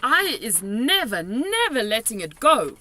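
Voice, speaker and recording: A woman doing an excitable old giant. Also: high voice, normal speed, pitched above the performer's own voice. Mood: anguished. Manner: jumbled grammar, made-up words.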